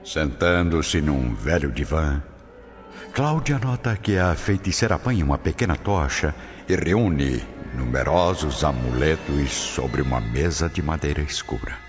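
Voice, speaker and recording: A man's voice: extremely low pitch, animated, a little slow. A man with a Brazilian accent narrating a radio drama from a script, solemn, formal and theatrical. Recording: dull audio, long reverb, music behind.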